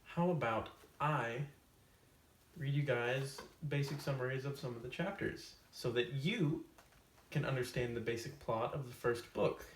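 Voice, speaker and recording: A man hosting a comedic storytime video, casually summing up a book to camera with tongue-in-cheek, deadpan asides. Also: medium pitch, measured pace, recorded from a distance. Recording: roomy echo, off-mic, faint hiss.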